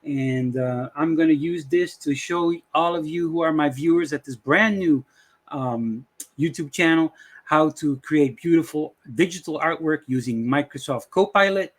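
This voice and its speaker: A man's voice: fairly high pitch, measured pace. A middle-aged man from the Dutch West Indies with a Dutch accent, hosting a casual tutorial and rambling with many fillers. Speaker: channel host. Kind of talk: casual screen-share tutorial intro.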